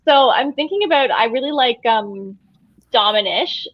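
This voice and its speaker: A woman's voice: a little high, expressive, moderate pace, no room sound. A woman hosting a casual book chat on a video call, rambling and restarting her thoughts. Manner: rambling, restarts, self-deprecating laughs. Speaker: book channel host. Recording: dull lo-fi sound.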